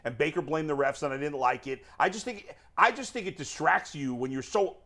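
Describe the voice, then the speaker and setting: A man with an American accent who talks quickly in a slightly high voice. He gives casual sports opinions as part of a panel debate. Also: a bit bright. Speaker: sports talk show panelist.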